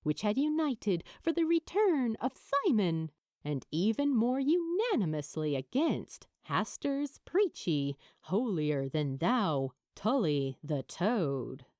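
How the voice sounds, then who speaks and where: A woman narrating fiction from a script in a measured, expressive storytelling manner. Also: mid-range voice, no room sound, clean studio sound. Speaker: amateur audiobook narrator.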